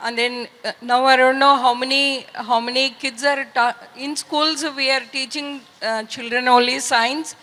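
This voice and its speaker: A woman with an Indian accent giving her opinion as an audience member, in halting phrases with repeats. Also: high-pitched, steady tone, pushed and bright. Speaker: audience member asking a question. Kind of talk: live audience question, opinion. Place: large festival hall. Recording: reverberant hall sound system.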